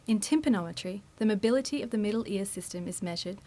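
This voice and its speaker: A woman delivers scripted educational narration. Her voice sits at an average pitch, and she explains each step formally and methodically, like the narrator of a training video. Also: fast talker, dark tone, slight background noise.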